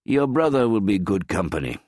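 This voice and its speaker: A man voicing a gentle old grandfather. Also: a bass voice, expressive, slow pace, vocal fry, husky, no echo, studio quality, full character voice. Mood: gentle, reassuring. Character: older male archaeologist grandfather, gentle, grieving, reassuring.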